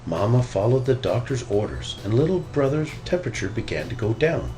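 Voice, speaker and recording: A man narrating a children's story in an expressive read-aloud style. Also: mid-range voice, brisk, faint music behind, poor audio. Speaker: storybook reader.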